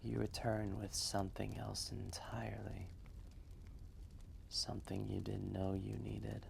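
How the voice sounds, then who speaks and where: A man voicing an ancient, gentle, wistful dragon telling a fireside roleplay story in a soft, breathy, measured and gravelly voice. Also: on the low side, completely flat delivery, a little hiss or hum. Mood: tender, wistful. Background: quiet fireplace ambience.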